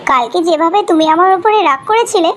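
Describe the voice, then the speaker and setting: A woman's voice: very high voice, animated, quick pace, a little hiss or hum. A woman voicing a kind, worried young college woman in cartoon drama dialogue.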